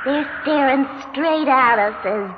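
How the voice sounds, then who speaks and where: An actress playing a flighty, petulant young widow in a 1960s radio drama, with melodramatic delivery and quaint country phrasing. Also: female voice, high-pitched, slow, lo-fi sound. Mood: morbidly fascinated. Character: flighty, girlish widowed daughter, petulant and macabre. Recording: noisy, band-limited 1960s radio recording.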